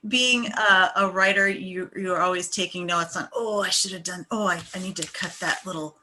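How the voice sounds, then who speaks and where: Bright-voiced woman chatting gratefully. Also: on the low side, fairly even intonation, moderate pace, slight background noise. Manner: effusive, appreciative. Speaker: screenwriter. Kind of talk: casual group chat. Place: remote video call.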